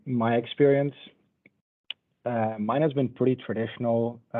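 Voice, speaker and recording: A man in his twenties from Portugal, giving a relaxed, conversational talk in an online lecture. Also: mid-range voice. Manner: relaxed, conversational, loosely paced. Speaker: panel speaker. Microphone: video call. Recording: tinny phone-like video call audio.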